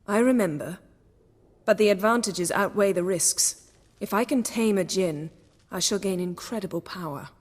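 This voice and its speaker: An actress playing a proud, commanding sorceress in a video game, speaking in a formal, poised manner with cool teasing. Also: female voice, mid-range voice, normal speed, no echo, close to an everyday voice. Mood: calculating.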